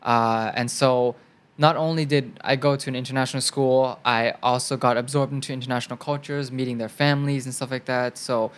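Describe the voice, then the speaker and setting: Relaxed young man, Thai native speaker. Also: medium pitch, brisk, smooth. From Thailand. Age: young adult. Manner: relaxed and chatty, thinks aloud with many fillers. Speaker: guest actor. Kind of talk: casual interview answers.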